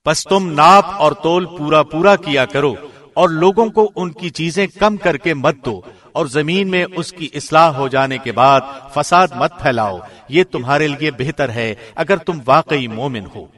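Guy reading scripture translation like a radio presenter, bit high voice, formal and deliberate. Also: a bit bright.

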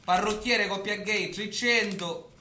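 A man playing a hapless, defensive small-time Sicilian gangster in a comic stage play, excitable, with a Sicilian accent. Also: high-pitched, takes it slowly, full character voice. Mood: sheepish. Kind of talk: theatrical comic stage acting. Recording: echoey stage recording with faint noise.

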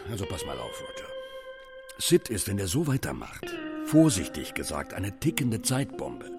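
Exasperated male band agent, wildly animated. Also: mid-range voice. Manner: scolding, emphatic, swings from barking to confiding. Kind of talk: radio play dialogue. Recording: loud music behind the voice.

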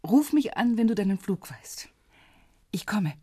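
An actress playing a reserved but tender woman in love, speaking softly and tersely in a radio drama. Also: medium pitch, expressive, a little slow, slightly rough, no room sound, clean studio sound.

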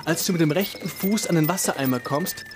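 A man's voice: on the high side, brisk. A man telling a radio play story in the second person, describing each action in the present tense. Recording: clear background ambience noise. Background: ambient noise.

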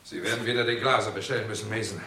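An actor playing a brisk, forceful armed guard in a 1960s German radio drama, with a commanding manner. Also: male voice, fairly low pitch, fairly even intonation, background noise, close to an everyday voice. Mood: urgent, brisk.

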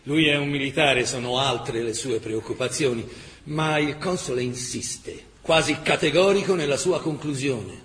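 A man narrating a dramatic historical chronicle in emphatic, measured radio-theatre style. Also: mid-range voice, fairly bright tone. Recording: echoey reverberant hall, faint noise.

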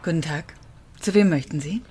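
An actress playing a polite, matter-of-fact care home nurse in radio drama dialogue. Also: female voice, deep voice, moderate pace, noisy background, natural voice. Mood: polite, helpful.

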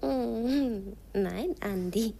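A woman's voice: on the high side, drawn-out words, gravelly, mellow, breathy edge, exaggerated voice. An actress playing a playful young Hungarian girl who speaks sing-song German with charming slips, in a radio play. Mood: teasing. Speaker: stationmaster's daughter. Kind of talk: 1950s radio play acting.

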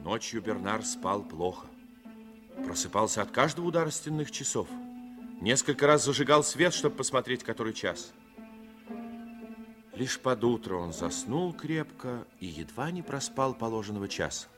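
A man's voice: mid-range voice, expressive, normal speed. A man giving scripted dramatic narration in a 1950s radio play. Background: music.

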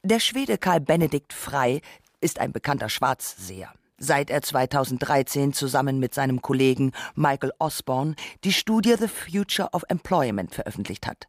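A man's voice: medium pitch, big pitch swings, moderate pace, no echo. A man narrating a scripted radio essay, arguing his points with dry irony.

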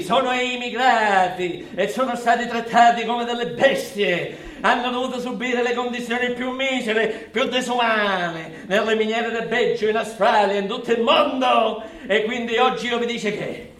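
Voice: medium pitch, fairly bright tone, roomy sound, far from the mic, lightly acted. An actor playing a bigoted, self-important nationalist video blogger who declaims with coarse, emphatic repetition in a theatrical satirical monologue. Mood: indignant, rousing.

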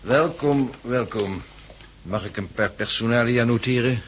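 A man's voice: medium pitch, a little slow, close to an everyday voice. An actor playing an officious army colonel in a 1960s radio drama, formal, clipped and bureaucratic as he registers people. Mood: brisk, formal. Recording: noisy old radio recording with clicks.

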